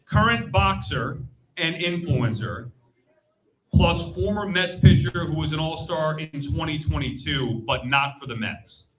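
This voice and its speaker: A man hosting lively live trivia on stage, blunt and joking, reacting quickly with exclamations. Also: on the high side, crowd noise, rough recording. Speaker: game show host at a fan convention panel. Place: live stage before an audience at a convention.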